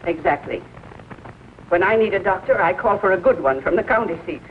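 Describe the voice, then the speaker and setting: A woman's voice: on the low side, expressive, a little fast, natural voice. An actress playing a stern, wealthy businesswoman who speaks curtly and formally in a 1940s film. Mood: cold, dismissive. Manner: curt, haughty, formal. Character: stern, wealthy, unyielding small-town businesswoman. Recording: scratchy, narrow-band 1940 film soundtrack.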